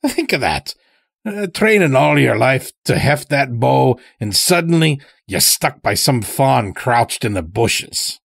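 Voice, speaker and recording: A man's voice: medium pitch, sing-song, slow, gravelly, a bit bright, breathy edge, no echo, clean studio sound, voice pushed up, full character voice. A man voicing a folksy, taunting old elder in an audio story, chatty and needling with rustic phrasing. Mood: musing, needling. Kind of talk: dramatic audio story character.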